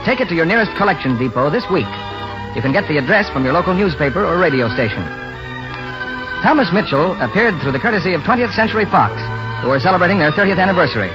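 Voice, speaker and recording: High-voiced American 1940s radio announcer man. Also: big pitch swings, fast talker, over music. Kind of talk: scripted 1940s radio announcing and sponsor pitch. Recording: muffled 1940s radio broadcast recording.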